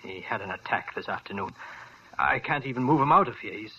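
A man's voice: on the low side, lively intonation, a little fast, pushed and bright. A man playing a kindly elderly doctor in a 1940s radio drama, urgent and earnest. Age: older. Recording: lo-fi old radio with faint hiss.

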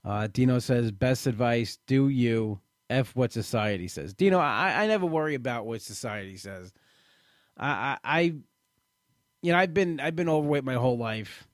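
A man in his late thirties sharing his weight-loss journey in a halting, personal way, often restarting and repeating words. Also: medium pitch, expressive, dry recording, clean studio sound. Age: late 30s. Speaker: weight-loss program member. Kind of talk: personal testimonial talk.

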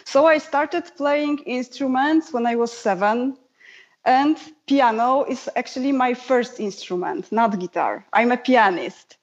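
A woman's voice: fairly high pitch, measured pace, highs cut off. A woman with a Polish accent, a guest musician telling her story in a casual, chatty interview. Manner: chatty storyteller, laughs easily.

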